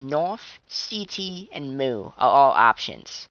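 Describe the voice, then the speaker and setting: Young male fan commentator, high tense voice, tired and strained, dull dry lo-fi mic, loose rambling delivery. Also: very animated, slow, fairly bright tone, no room sound. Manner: chatty, swearing, trailing restarts. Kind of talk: casual rambling monologue.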